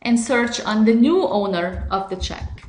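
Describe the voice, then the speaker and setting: A woman reading scripted step-by-step software instructions in a measured, instructional way. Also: mid-range voice, expressive, echoey room. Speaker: software tutorial narrator.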